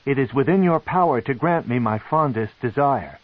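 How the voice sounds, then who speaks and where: A man voicing a desperate young male dark elf wizard who stutters and pleads. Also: medium pitch, animated, normal speed, no echo, narrow, tinny audio, voice pushed up, close to an everyday voice.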